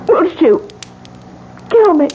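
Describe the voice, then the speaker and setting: Emotional radio drama wife, high voice swooping all over, pleading, dull old recording. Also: female voice, sing-song, takes it slowly, slight background noise, exaggerated voice. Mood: daring, intense. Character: emotional, pleading wife professing love and innocence. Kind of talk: 1970s radio drama acting.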